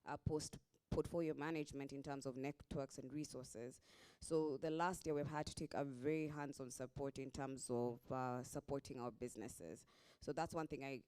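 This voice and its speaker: A woman's voice: a bass voice, darkish tone, clear, resonant tone. A Kenyan woman investor answering a panel question, laying out her points one by one with small restarts. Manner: explains point by point, restarts, occasional laughs.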